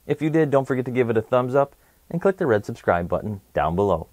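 A man in his thirties hosting a children's read-aloud, presenting picture books in an expressive storytelling way. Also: mid-range voice, fast talker, no echo. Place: small indoor room set, seated.

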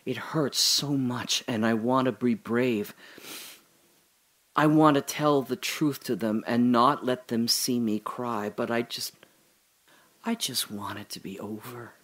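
An actor playing a dying older father, telling his memories in a halting, breathy dramatic monologue that drops to whispers. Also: medium pitch, steady tone, fairly bright tone. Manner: halting, breathy, emotional, many pauses and restarts. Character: dying old father recalling his daughter's birth.

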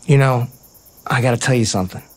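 An actor playing a playful, sincere baseball player, casual and earnest in a naturalistic audio drama. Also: male voice, mid-range voice, quick pace, darkish tone, natural voice. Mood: nostalgic, sincere.

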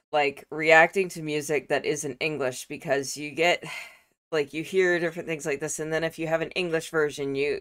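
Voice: medium pitch, a bit bright. A co-host of a casual music reaction chat on a video call, talking at length in easygoing, rambling explanations. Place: home room, video call.

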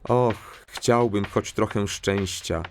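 A man's voice: fairly low pitch, fairly even intonation, takes it slowly. A man reading formal voice-over translations of slang lines in a comic audio drama. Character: formal lector with literal, proper phrasing.

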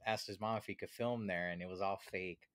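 A man's voice: low-pitched, steady tone, fairly quick, a bit bright, no echo. A man in his thirties chatting casually and jokingly on a podcast in informal, slangy speech, close on the microphone. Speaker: podcast co-host. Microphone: close podcast microphone with headphones.

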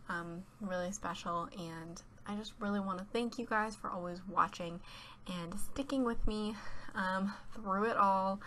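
A woman's voice: mid-range voice, sharp tone, slight background noise. A teenage girl chatting over a voice-over, rambling and giggly, stuffed up from a cold. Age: teens. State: congested from a cold. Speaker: YouTuber. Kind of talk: casual voice-over chat. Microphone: home voice-over mic.

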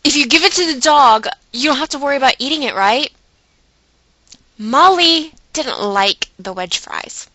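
Bright-voiced woman telling a chatty, dramatic story. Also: high-pitched, lively intonation, normal speed, dry recording, low-quality recording. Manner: chatty, dramatic emphasis, acting out her own inner dialogue. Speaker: vlogger. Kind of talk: animated storytelling monologue to viewers.

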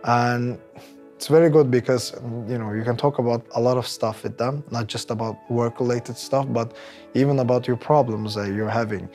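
A man's voice: medium pitch, steady tone, moderate pace, a little mellow. This is a man in his thirties with a Turkish accent and a mid-range voice. He speaks conversationally, as an employee giving an interview. He is recorded on a lapel mic, with some music playing behind him. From Turkey. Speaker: employee interviewee, technical project manager. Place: bright office room indoors, by large windows. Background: background music.

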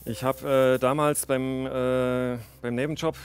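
A man's voice: medium pitch, little pitch movement, unhurried, smooth. A man in his forties answering interview questions in an unscripted, matter-of-fact and unbothered way. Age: 40s. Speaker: interviewed contestant telling a life story. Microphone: headset microphone.

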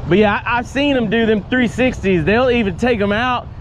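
A young man narrating his own fishing trip in a relaxed, slangy way, talking to a camera on his boat. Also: very high-pitched, a little fast, slight background noise. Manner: laid-back, slangy, talks to camera while working. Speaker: fishing vlogger. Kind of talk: casual outdoor vlog narration. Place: outdoors at a boat ramp and on open water.

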